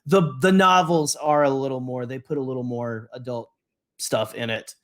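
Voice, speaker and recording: A man's voice: medium pitch, fairly even intonation, normal speed, a bit bright. A man co-hosting a podcast, chatting casually and enthusiastically in a rambling, slangy way, often interrupting himself. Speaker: podcast co-host.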